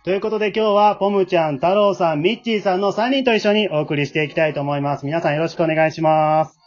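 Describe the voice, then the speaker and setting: Guy hosting a podcast, chatting fast, muffled lo-fi audio. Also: high-pitched, soft background music. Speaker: host.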